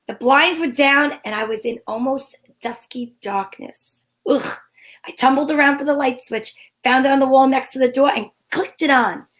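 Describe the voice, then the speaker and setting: A woman's voice: high voice, fairly bright tone. A woman playing a plucky, wisecracking female private eye in an old-time radio drama, telling her story dramatically. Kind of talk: old-time radio detective acting, first-person narration.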